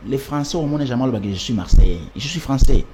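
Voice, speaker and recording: A man's voice: medium pitch, animated, fast, warm tone, a little hiss or hum. A man, giving an emphatic political interview and driving his points home with repetition and rhetorical lists. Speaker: political party representative. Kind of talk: political interview, mixing French and Lingala.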